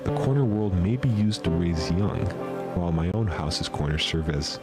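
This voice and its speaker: A man playing an obsessed researcher, narrating analog horror in a measured, formal, clinical way. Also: sits low, expressive, over loud music. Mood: clinical, fascinated. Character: obsessed male researcher documenting otherdimensional creatures at home.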